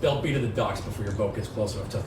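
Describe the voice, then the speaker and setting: A man's voice: fairly low pitch, very fast. A man casually explaining the scene to players as game master of a tabletop game. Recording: echoey room with clear background noise.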